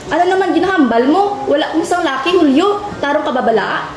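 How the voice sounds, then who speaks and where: A woman's voice: sits high, expressive, fast talker. A woman playing a young battered wife, weary, pleading and defensive, in an amateur radio drama with a Western Visayan accent. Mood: exasperated. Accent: Western Visayan Philippine. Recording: roomy echoing recording with music behind. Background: music.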